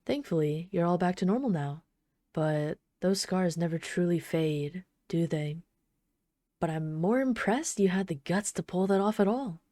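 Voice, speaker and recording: A woman playing an obsessive, flirtatious villain in an intimate ASMR roleplay, speaking softly and unhurriedly with teasing, casual menace. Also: lowish voice, measured pace, dry recording, clean studio sound, close to an everyday voice. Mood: admiring, musing. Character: obsessive yandere villain, flirtatious bomber calmly toying with trapped hero.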